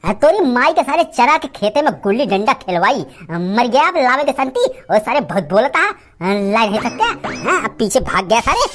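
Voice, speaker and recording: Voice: medium pitch, very animated, normal speed, hardly any pauses, fairly bright tone, a little hiss or hum. A performer voicing a cantankerous, lazy village uncle in an Awadhi comedy cartoon, scolding and rambling with stubborn, abusive bluster. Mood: defiant. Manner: blustering, scolding, rambling rants full of abuse.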